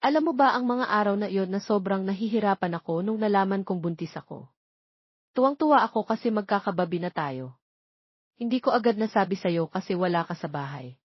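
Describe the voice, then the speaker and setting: A woman's voice: medium pitch, lively intonation, fairly quick, slightly rough, dry recording, muffled recording. Woman acting a hurt, pleading young mother. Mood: hurt, aggrieved. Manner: emotional, pleading. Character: hurt, tearful young mother pouring out old grievances. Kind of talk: dramatic audio romance acting.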